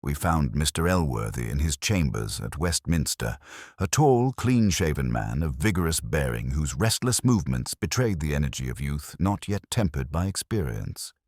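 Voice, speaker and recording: A man narrating a mystery story in a measured, formal, literary manner. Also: rumbling low, very animated, darkish tone, dry recording, studio quality. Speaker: audiobook narrator. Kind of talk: scripted dramatic mystery narration.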